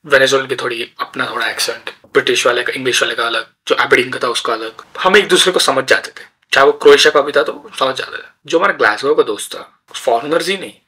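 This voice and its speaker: Young man, from India, casual podcast storytelling, opinionated, bright, slightly husky. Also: mid-range voice. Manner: chatty, opinionated, with many filler pauses. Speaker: podcast host.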